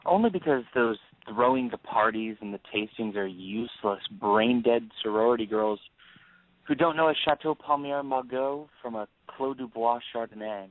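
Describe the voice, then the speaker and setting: A man playing a younger, falsely friendly schemer with a deliberate, flattering manner in an audio play. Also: medium pitch, lightly acted. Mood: defensive. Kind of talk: audio stage-play acting. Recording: tinny narrowband phone-like line.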